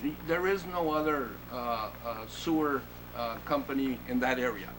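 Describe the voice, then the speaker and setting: A man serving as city manager, answering questions at a council meeting in a measured, explanatory way. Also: medium pitch. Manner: measured and explanatory, with fillers and restarts. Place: council chamber. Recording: noisy meeting-room recording.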